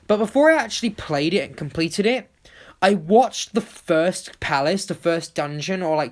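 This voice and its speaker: Casual YouTuber, mid-pitched voice, enthusiastic and rambling, informal voice-over delivery. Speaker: YouTube channel creator.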